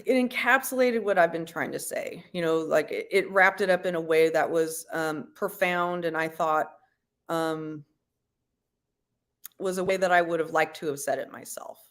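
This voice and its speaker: A woman hosting a livestream, giving commentary to viewers in a halting, deliberate, explanatory way. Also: low-pitched. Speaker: livestream host.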